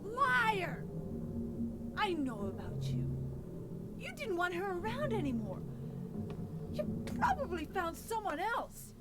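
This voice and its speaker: Game role: very high-voiced, slow, trembling young woman, wounded and distrustful, over loud noise. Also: wildly swooping pitch, full character voice. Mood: accusing. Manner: accusatory, erratic, halting. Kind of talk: dramatic video game cutscene acting.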